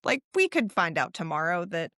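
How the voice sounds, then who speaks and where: A woman's voice: fairly low pitch, big pitch swings, moderate pace, pushed and bright, dry recording, clean studio sound. A woman of thirty-six chatting casually as a podcast co-host, emphatic and rambling, with slangy, sweary phrasing. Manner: emphatic, profane, philosophical musing in casual slang.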